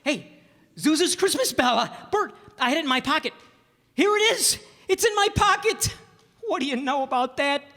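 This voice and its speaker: A man's voice: extremely high pitch, animated, moderate pace, bright, forceful tone, heavily acted. An actor in a radio play as an emotional family man, stammering and impassioned. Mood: overjoyed. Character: desperate, emotional young family man, pleading then overjoyed. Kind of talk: live radio play acting. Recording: stage hall with long reverb.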